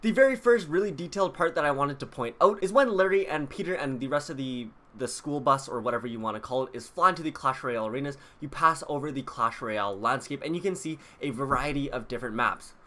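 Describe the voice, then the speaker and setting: A man's voice: medium pitch, expressive, fast talker, hardly any pauses, pushed and bright. A man hosting a video, explaining details to viewers in a casual, chatty way. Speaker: video host. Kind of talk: casual scripted video commentary.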